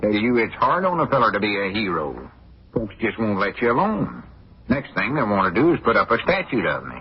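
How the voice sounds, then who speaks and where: Boastful rural Arkansas old-timer, swooping husky voice. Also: male voice, lowish voice, huge pitch swings, a little fast, full character voice. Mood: self-important. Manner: folksy, evasive, self-important, backtracking. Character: boastful, blustering small-town old-timer posing as hero. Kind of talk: 1940s radio comedy acting. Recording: muffled, dull 1940s radio recording.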